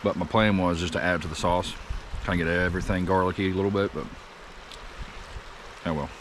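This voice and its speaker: A man in his thirties talking to the camera while cooking outdoors at a wooded campsite, rambling and easygoing as he thinks out loud. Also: sits low, steady tone, fairly quick, noisy background. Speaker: camping cook vlogger.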